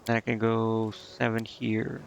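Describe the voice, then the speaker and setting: A man's voice: lowish voice, monotone, measured pace, gravelly, muffled recording. A man thinking aloud in casual, indecisive gameplay commentary, muttering to himself as he streams. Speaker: game streamer.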